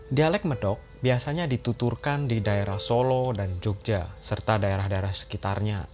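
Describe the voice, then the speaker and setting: A man narrating an educational history video as a voice-over, in a calm and steady explaining manner. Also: fairly low pitch, normal speed. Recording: voice-over with loud background music.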